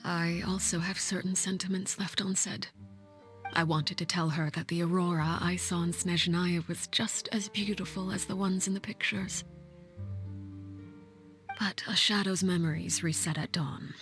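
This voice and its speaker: An actress playing a composed, stern woman who leads a household, speaking formally and deliberately in video game dialogue. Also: fairly low pitch, steady tone, fairly bright tone, faint music behind, close to an everyday voice. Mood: wistful, reserved. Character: composed, stern, commanding woman leader of an orphan household, called Father.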